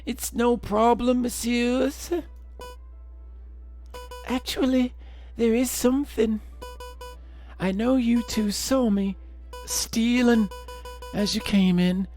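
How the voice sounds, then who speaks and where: A man's voice: very high voice, steady tone, slow, long pauses, a little mellow, soft background music, pitched above the performer's own voice. A man voicing a polite, apologetic young housemaid bird who speaks hesitantly in a video game.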